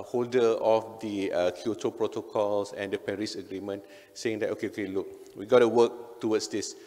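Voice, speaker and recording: A man's voice: fairly low pitch, darkish tone. A middle-aged man with a Malaysian accent giving an informal, conversational presentation to an audience, with frequent tag words. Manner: conversational, frequent "right?" and "okay" tags. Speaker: conference speaker, engineer. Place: indoor hotel event hall on stage. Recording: reverberant hall PA sound.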